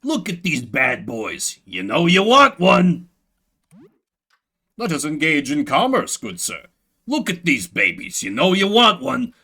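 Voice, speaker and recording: Man voicing a pushy streetwise gun dealer with swooping pitch. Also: huge pitch swings, measured pace, bright, forceful tone, breathy edge, exaggerated voice. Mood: pushy, coaxing. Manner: slangy salesman patter, coaxing. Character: pushy, streetwise male arms dealer hawking guns.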